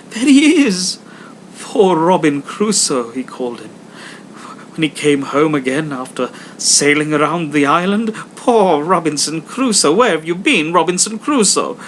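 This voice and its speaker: A man voicing an excitable old man, exclaiming in ecstatic, tearful nostalgia in a storybook reading, over clear background noise. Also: on the high side, pitched above the performer's own voice. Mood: wild delight.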